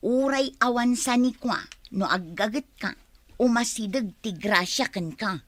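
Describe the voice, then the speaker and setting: A woman's voice: on the high side, a little slow, a bit bright, no echo. A woman with an Ilocano accent playing a poor, complaining young village wife in a radio comedy drama. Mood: downcast. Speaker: voice actor.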